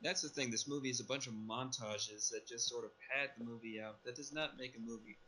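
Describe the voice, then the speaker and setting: A man's voice: mid-range voice, steady tone, brisk, sharp tone, poor audio. A man casually discussing and recapping a film as a podcast panelist. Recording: noticeable background noise.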